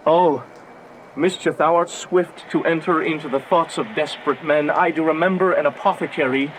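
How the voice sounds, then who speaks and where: A man's voice: a little high, moderate pace, lightly acted, low-quality recording. An actor playing a lovesick, impulsive young nobleman in a declamatory, poetic Shakespearean radio drama. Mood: stunned, desperate. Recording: faint background noise.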